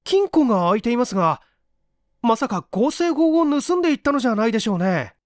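A man's voice: extremely high pitch, sing-song, fairly quick, no echo, clean studio sound, pitched above the performer's own voice. A man voicing an earnest, eager young male student assistant who speaks politely and urgently in a story reading. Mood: anxious, polite.

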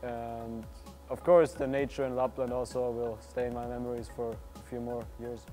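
A young man with an Austrian German accent, speaking in a measured, articulate way in a documentary interview indoors. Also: mid-range voice, level tone, warm tone, soft background music. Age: young adult. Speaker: exchange student. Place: indoors by a large window.

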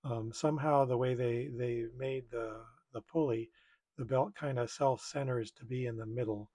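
A man's voice: medium pitch, steady tone, moderate pace, mellow. A middle-aged man giving casual hands-on tutorial commentary, thinking aloud in a tentative way. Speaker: repair video maker.

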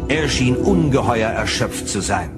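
An actor narrating a German sci-fi audio drama as a serious third-person storyteller. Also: male voice, medium pitch, lively intonation, measured pace, over loud music, close to an everyday voice. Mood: suspenseful.

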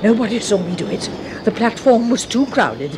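An actress with an English accent playing an obsessive, murderous secretary in a 1970s radio horror drama, intense and easily flustered. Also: female voice, a little high, very animated, moderate pace, noisy background. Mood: intense, confessional. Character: obsessive, murderous spinster secretary, timid before her boss.

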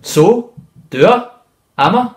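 A man's voice: a little high, expressive, moderate pace, echoey room, faint music behind, natural voice. A man playing an agitated, paranoid, foul-mouthed character who argues with a voice in his head, in a dramatic monologue style. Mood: indignant. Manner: exasperated, foul-mouthed, protesting.

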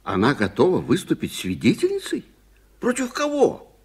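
An actor in a 1980s Soviet radio drama playing an impatient, hot-tempered gentleman who fires insistent questions. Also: on the high side, huge pitch swings, normal speed, breathy edge, heavily acted. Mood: exasperated.

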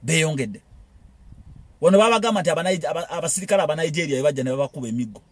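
Ugandan man, high voice, rapid rhetorical political rant, repetitive. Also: lively intonation, no room sound. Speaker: political commentator. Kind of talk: impassioned political monologue.

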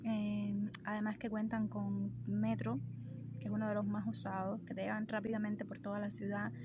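A woman's voice: medium pitch, monotone, normal speed. A young Cuban woman with a Cuban Spanish accent, chatting enthusiastically to her phone camera outdoors. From Cuba. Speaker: vlogger. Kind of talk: casual vlog talk to camera. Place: outdoors by trees on a street. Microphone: phone camera held at arm's length. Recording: outdoor street noise behind.

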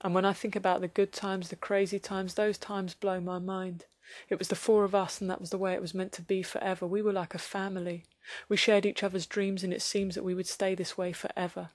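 A frantic Londoner. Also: female voice, high voice, very animated, brisk, fairly bright tone. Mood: wistful. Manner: emotional, shifting between tearful and whispered. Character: distressed young working-class mother. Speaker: actress. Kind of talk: dramatic acting showreel.

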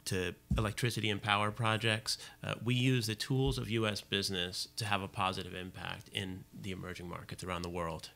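A man in his thirties, a guest at a studio interview, speaking in a polished, formal way with many brief pauses. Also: lowish voice, level tone, creaky, sharp tone. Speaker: guest, government agency official. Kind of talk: interview answers. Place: small radio studio. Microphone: studio mic on stand.

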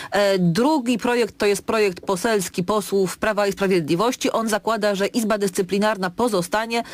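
Polite formal female radio host. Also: mid-range voice. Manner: polite, formal, steering with time cues. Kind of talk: radio interview.

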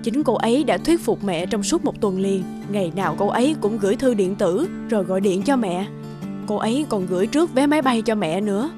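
A woman's voice: medium pitch, expressive, brisk, over music, close to an everyday voice. A woman voicing a loving, apologetic mother in a cartoon. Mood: tender, apologetic. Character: loving, apologetic mother who lives abroad. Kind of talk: cartoon voice acting.

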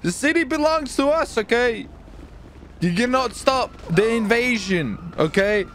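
Animated, high-voiced man hosting gaming video. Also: a little slow, a little hiss or hum. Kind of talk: excitable gameplay commentary with playful roleplay.

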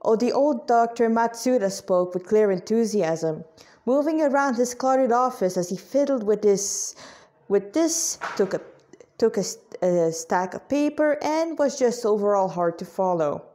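A woman's voice: a little high, animated, unhurried, warm tone. Woman reading their own story aloud, informally. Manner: stumbles over words and rereads phrases. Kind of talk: informal read-aloud narration with chatty asides.

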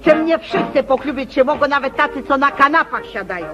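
An actress playing an offended middle-aged society matron in a radio comedy, sarcastic and falsely humble. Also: female voice, sits high, lively intonation, fairly quick, highs cut off, over music. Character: huffy, status-conscious middle-aged matron, mother of daughters. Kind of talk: stage-comedy radio play acting.

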